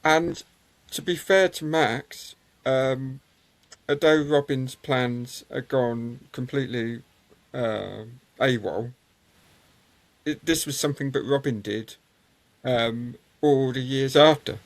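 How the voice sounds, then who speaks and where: A man recounts racing history in a loose, meandering way, filling his pauses with ums and uhs. His voice sits at an ordinary middle pitch for a man. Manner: rambling, many ums and uhs, anecdotal. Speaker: motorsport historian guest. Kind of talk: conversational interview storytelling.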